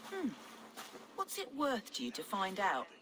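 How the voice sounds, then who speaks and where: An actress playing a suspicious, hard-nosed medieval village alewife in a game cutscene, with a curt, colloquial manner. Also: female voice, mid-range voice, wildly swooping pitch, measured pace, fairly bright tone. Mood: wary, bargaining. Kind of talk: dramatic game cutscene acting.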